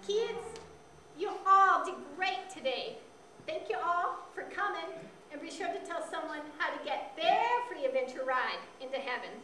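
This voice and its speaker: A woman voicing an excitable, encouraging character who addresses children in a church puppet show. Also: extremely high pitch, normal speed, exaggerated voice. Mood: upbeat, encouraging. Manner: encouraging, animated. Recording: roomy, distant mic with background noise.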